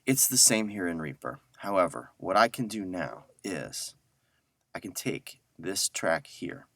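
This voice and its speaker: A man's voice: deep voice, very animated, a little slow, creaky, a bit bright, no room sound. A man giving a casual audio editing tutorial, informally muttering to himself as he works. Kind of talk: casual screen-recorded software tutorial.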